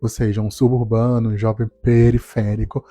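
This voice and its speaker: A man, telling his life story to camera in a calm, reflective way. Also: fairly low pitch, no room sound, soft background music. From Salvador, Brazil. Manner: calm, reflective, measured delivery. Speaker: speech therapist and doctoral student. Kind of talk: personal testimony to camera.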